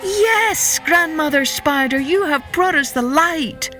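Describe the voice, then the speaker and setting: A woman voicing excitable, doubting forest animals in a children's story, with a blunt, exclaiming delivery. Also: high voice, slow, over music, voice pushed up. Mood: jubilant.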